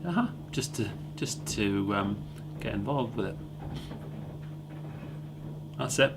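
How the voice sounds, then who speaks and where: A man's voice: on the low side, lively intonation, slow, noisy background. A man with a Scottish accent giving a loose, rambling interview answer and repeating himself. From Glasgow, Scotland.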